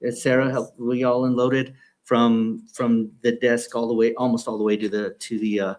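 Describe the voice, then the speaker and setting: A man's voice: medium pitch, little pitch movement, normal speed, darkish tone, rough recording. A man leading a school board meeting, talking in a hesitant way with many restarts. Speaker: school superintendent running the meeting agenda. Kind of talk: meeting announcements.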